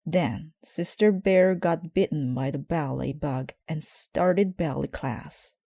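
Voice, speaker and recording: A woman reads a children's story aloud in a measured, deliberate storytelling manner. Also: low-pitched, vocal fry, dark tone, no room sound, studio quality. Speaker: storybook reader. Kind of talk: scripted children's story narration, read aloud.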